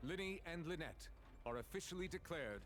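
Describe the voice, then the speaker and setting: An actor playing a stern, formal judge in a video game, measured and commanding. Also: male voice, a little high, lively intonation, natural voice. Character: stern, formal, authoritative male judge of a court. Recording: game music clearly behind.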